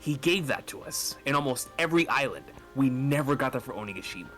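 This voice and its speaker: A man talking casually and excitedly as a fan, repeating phrases for emphasis. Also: mid-range voice, fast, soft background music. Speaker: podcast speaker discussing manga.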